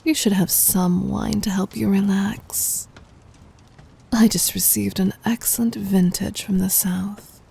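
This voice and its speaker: Woman acting a slow, whispering, doting demon queen. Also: mid-range voice, fairly even intonation, dark tone, heavily acted. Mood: playful, possessive. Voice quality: breathy whisper. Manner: slow, intimate, commanding. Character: gentle dominant demon queen, doting and teasing lover. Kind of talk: ASMR roleplay.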